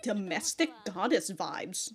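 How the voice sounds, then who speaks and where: A woman's voice: medium pitch, sing-song, takes it slowly, soft background music. A woman giving casual, sweary, giggly reaction commentary as she hosts a reaction video. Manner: giggly, often breaking into laughter.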